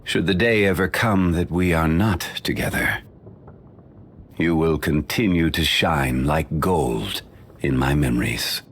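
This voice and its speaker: An actor playing an ancient, composed male stone god in a game, speaking in a measured, formal, unhurried way. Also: very deep voice, fairly bright tone, slightly rough, heavily acted. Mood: reflective. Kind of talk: game character voice lines.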